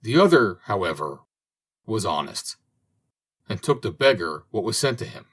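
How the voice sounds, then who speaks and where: A man reading an old fairy tale aloud as an audiobook narrator, in a slow, expressive manner. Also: on the low side, slightly rough. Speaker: audiobook reader. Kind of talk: storybook narration.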